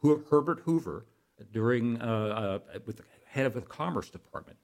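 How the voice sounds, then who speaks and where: An older American man speaking as an expert guest in an interview, explaining things in a halting way with self-corrections. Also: mid-range voice, lively intonation, a little mellow.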